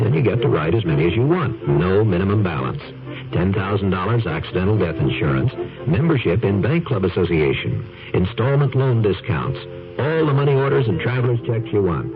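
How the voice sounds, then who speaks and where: A man reads a radio commercial with a brisk, upbeat salesman delivery and a lowish, lively voice. It sounds thin and tinny, with a strong music bed underneath. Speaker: commercial announcer. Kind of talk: radio ad read.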